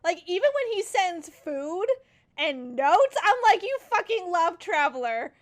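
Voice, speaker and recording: A young woman streaming a game playthrough, reacting casually in an expressive, gushing way. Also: very high voice, a little slow. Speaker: gaming streamer.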